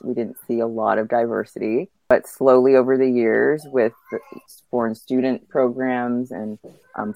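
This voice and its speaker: A woman in her thirties, a guest chatting on a podcast. Her pitch is extremely low for a woman, with plenty of vocal fry. The sound is dry, with no room around it, and her delivery is conversational. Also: dry recording, muffled recording. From Canada, born in Uganda. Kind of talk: casual conversational interview.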